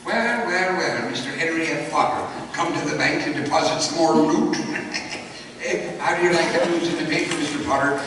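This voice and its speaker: A forgetful old uncle rattles on in a high, flustered voice, played by a man. He rambles through his lines in a live radio play. The sound is heard from afar in a long, echoing hall with some noise. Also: normal speed, natural voice. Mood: jovial. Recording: echoey hall from far off.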